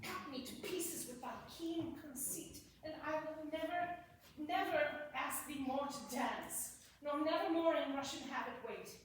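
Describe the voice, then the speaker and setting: A witty, mocking Shakespearean courtier played by a woman in a live staged reading, heard from a distance in a very echoey hall. The verse is delivered slowly in a high, bright voice. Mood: theatrical, pleading. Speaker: amateur stage actor. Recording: echoey hall, distant mic, faint noise.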